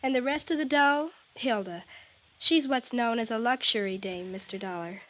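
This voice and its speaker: An actress playing a cynical, quick-witted young woman with a flip, slangy manner in a 1950s radio drama. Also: on the high side, a bit bright, close to an everyday voice. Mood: sassy, wry. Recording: 1950s radio broadcast recording.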